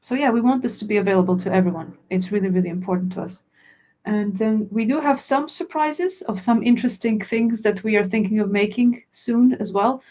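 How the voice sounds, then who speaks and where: A woman whose native language is Croatian, explaining her work in a sincere, straightforward way during a webinar Q&A. She speaks at a middle pitch, as a teacher walking through her answers. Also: brisk, warm tone. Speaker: language teacher and product founder.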